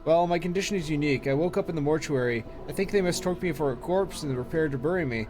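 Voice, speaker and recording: A man streaming a game, reading its dialogue aloud with casual asides, his voice a little hoarse from long talking. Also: a little high, fast, over music. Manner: reads long text passages aloud with brief asides.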